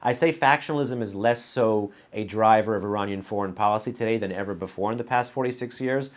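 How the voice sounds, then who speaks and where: A man hosting a panel discussion who makes dense, argumentative points and adds quick footnotes. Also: fairly low pitch. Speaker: host moderating a panel.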